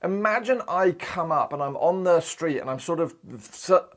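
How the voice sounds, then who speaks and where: A man in his thirties, from Australia, teaching a recorded maths lesson in a chatty, animated way. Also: high voice, normal speed. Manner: lively, chatty, acts out quoted voices. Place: indoor studio in front of a screen.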